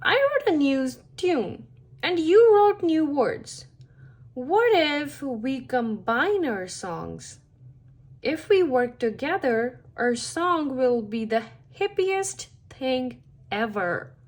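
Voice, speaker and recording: A woman plays a young tomcat from a children's storybook, high and bright in spirit. He is easygoing, cheerful and encouraging, and takes his time with his words. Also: big pitch swings, takes it slowly, full character voice. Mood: excited. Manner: upbeat, encouraging. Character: cheerful, easygoing young male cartoon cat guitarist.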